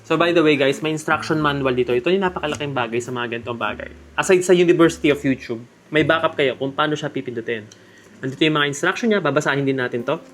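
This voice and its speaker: A man's voice: on the high side, quick pace, a bit bright. A man with a Filipino accent gives a casual, chatty product review, speaking directly to viewers in a jokey way. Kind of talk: casual unboxing vlog narration. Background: background music.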